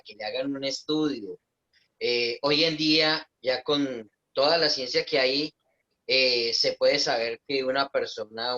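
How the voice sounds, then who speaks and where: Man hosting a video call, very slow and thoughtful, bright slightly high voice, dull lo-fi audio. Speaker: host. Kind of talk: casual online conversation.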